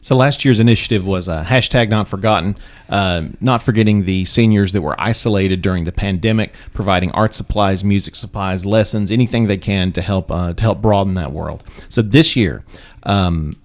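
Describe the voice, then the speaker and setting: A man hosting a radio interview, asking questions in a halting way with many fillers and restarts. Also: sits low. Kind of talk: casual radio interview talk. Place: radio studio.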